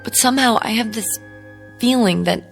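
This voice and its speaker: A stubborn but anxious young woman of eighteen in a radio play. Her voice sits a little high and she speaks with hesitant, pleading earnestness. Music runs underneath. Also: moderate pace, creaky. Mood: uneasy, doubtful. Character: anxious, stubborn eighteen-year-old woman resisting a beautifying transformation. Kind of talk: radio drama acting.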